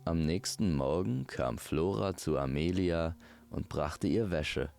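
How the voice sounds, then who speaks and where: A man's voice: deep voice, slow pace, vocal fry, darkish tone. A man narrating a scripted fairy-tale audio drama as its storyteller.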